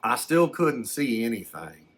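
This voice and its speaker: A man voicing a folksy storyteller who recounts a frightening encounter in a halting, rambling ASMR style, often stammering and backtracking. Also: medium pitch, sing-song. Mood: earnest, puzzled. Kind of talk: first-person ASMR storytelling.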